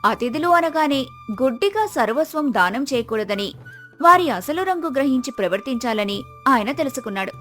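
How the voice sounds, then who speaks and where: A woman narrates a moral tale from a script. Her voice sits a little high, and music plays underneath. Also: sing-song, normal speed, a bit bright. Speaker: story narrator.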